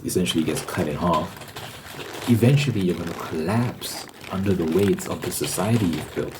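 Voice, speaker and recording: Male ASMR host, very low voice, halting explanatory delivery with repeated phrases, noticeable background noise. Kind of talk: ASMR ramble, explanatory monologue.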